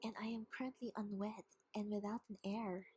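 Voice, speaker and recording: A woman's voice: medium pitch, level tone, normal speed, warm tone, slight background noise, lightly acted. A woman playing a haughty, teasing vampire queen in an ASMR roleplay, often half-whispering in a taunting, mock-polite way. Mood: smug, taunting. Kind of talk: ASMR roleplay, scripted monologue.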